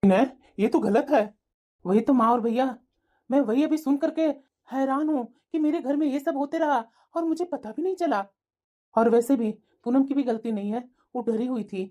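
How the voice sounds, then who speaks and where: A woman plays a young husband in a domestic melodrama. The voice is high-pitched for the part. He speaks earnestly, reassuring his wife and standing firm. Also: normal speed, warm tone, natural voice. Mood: resolute. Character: supportive, resolute young husband. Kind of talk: melodramatic family story dialogue.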